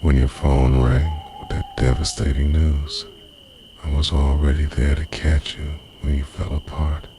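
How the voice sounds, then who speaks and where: A man playing an obsessive, devoted boyfriend in an intimate ASMR roleplay, speaking in a hushed, breathy, deliberate way that slips into whispers. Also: extremely low pitch, little pitch movement. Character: obsessive, devoted time-travelling boyfriend, softly confessing. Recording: noisy night ambience with crickets.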